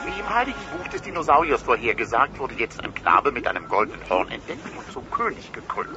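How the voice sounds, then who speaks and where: A man's voice: medium pitch, very animated, quick pace, natural voice. Showy dinosaur news reporter played by a man. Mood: solemn, breathless. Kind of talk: parody news report in an audio play. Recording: dull sound under loud music.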